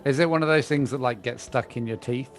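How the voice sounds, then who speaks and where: A man's voice: medium pitch, fairly quick. A man playing a tabletop game, casually describing how his character would react. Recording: music playing behind the voice. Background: music.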